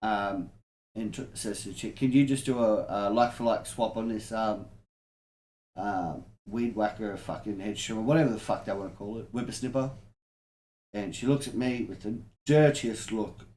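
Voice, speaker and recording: A man's voice: fairly low pitch, moderate pace, a little mellow. An Australian man co-hosting a podcast, telling stories casually in blunt, slangy, sweary language. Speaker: podcast co-host. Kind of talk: casual anecdote telling among friends. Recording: roomy echo, faint background noise.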